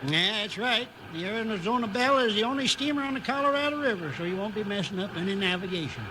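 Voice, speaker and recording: A seasoned steamboat captain with a high voice and a folksy, salty way of talking. It is a 1950s radio western with clear noise behind the old recording. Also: male voice, lively intonation, quick pace. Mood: genial. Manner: folksy nautical drawl, barks orders.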